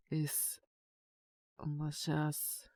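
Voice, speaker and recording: Guy doing a stuffed-up sick teen boy for an audio drama, stubborn shy type, talks slow n casual, super dry close recording. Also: fairly high pitch, a bit bright, no echo, heavily acted. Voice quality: congested cold-sick voice with coughs. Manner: halting, mumbly, casual boyish speech. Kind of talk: Japanese situation voice drama, intimate casual acting.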